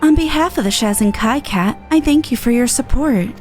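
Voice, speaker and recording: A woman's voice: fairly high pitch, animated, normal speed, a little mellow, faint music behind, natural voice. A woman voicing a gracious, composed female official in a game, with polite formal speech. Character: gracious formal woman speaking for an enclave's leaders.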